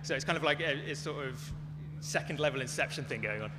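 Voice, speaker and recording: A man's voice: fairly high pitch, quick pace, pushed and bright, soft background music. A man giving an informal, hedged explanation as a speaker answering audience questions at a live talk. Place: large echoey venue at a live talk. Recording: echoey hall, clear background noise, hum.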